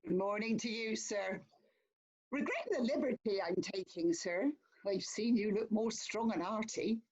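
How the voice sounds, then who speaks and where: A woman's voice: mid-range voice, normal speed, darkish tone, husky, natural voice, rough recording. A woman playing a chatty, deferential but cheeky police sergeant in a theatrical comedy reading. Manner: garrulous, respectful, drily teasing.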